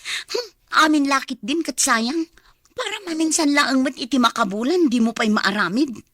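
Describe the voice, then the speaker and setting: High-pitched, sulky young Ilocano girlfriend. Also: expressive, moderate pace, fairly bright tone, no room sound, clean studio sound. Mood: demanding, pouting. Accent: Filipino, Ilocano. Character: envious, demanding young girlfriend, sulky and wistful. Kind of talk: radio drama acting.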